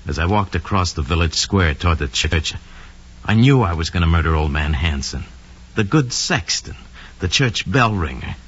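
An actor playing a smug, scheming young murderer who confesses coldly and boastfully in an old radio drama monologue. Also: male voice, deep voice, animated, normal speed, fairly bright tone, slightly rough, full character voice. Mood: cold, sly. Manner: sly, cold, boastful, slangy. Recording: dull lo-fi old radio recording.

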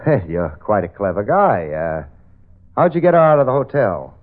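A man's voice: lowish voice, big pitch swings, normal speed, no room sound. An actor playing a hard-boiled male private eye who narrates in clipped, wry lines, in 1940s radio acting style. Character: tough adult male private eye, wisecracking. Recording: tinny old radio broadcast with music.

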